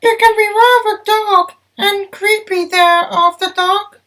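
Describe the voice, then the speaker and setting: A man's voice: very high-pitched, fairly even intonation, takes it slowly, bright, forceful tone, pitched above the performer's own voice, exaggerated voice. A man voicing nervous, timid talking steam engines from a children's storybook, in an exclamatory manner. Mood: worried warning.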